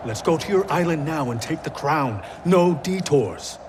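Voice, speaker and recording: A man's voice: fairly high pitch, expressive, normal speed, background noise, natural voice. An actor playing a stern, wary and noble warrior shaman in a video game cutscene, firm and earnest. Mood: skeptical, firm. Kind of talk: cinematic video game acting.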